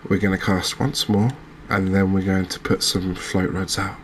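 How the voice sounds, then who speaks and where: A man giving gentle, unhurried ASMR commentary over a cozy fishing game, with hesitant, understated phrasing. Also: deep voice, level tone, slight background noise. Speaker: host, video game streamer.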